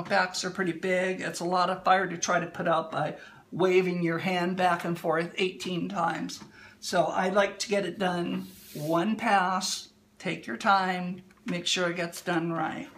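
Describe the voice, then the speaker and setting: A woman speaks in an unhurried, explanatory way, as if teaching at a table indoors. Her voice is bright and forward, a touch hoarse. Faint background noise is picked up by a phone camera a short distance away. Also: lowish voice. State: slightly hoarse. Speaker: livestream demonstrator. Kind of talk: instructional demo talk.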